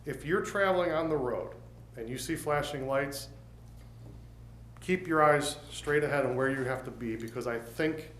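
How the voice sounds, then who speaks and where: A man's voice: a little high, moderate pace, fairly bright tone. Earnest man in a roomy chamber. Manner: earnest, plain-spoken, with frequent restarts. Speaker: mayor. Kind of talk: council meeting remarks. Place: council chamber. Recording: roomy chamber with faint noise.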